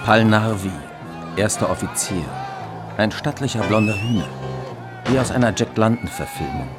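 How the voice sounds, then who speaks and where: An actor playing a dry, descriptive investigator narrating a radio drama. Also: male voice, lowish voice, moderate pace, over music, crowd noise, natural voice.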